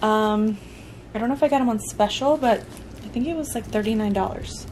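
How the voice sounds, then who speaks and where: A woman's voice: fairly high pitch, moderate pace, darkish tone. A woman in her thirties chatting informally and self-deprecatingly to her camera in a small bedroom, as a vlogger. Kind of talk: casual vlog chat to camera. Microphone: camera at arm's length or set nearby. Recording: noisy camera audio with room hum.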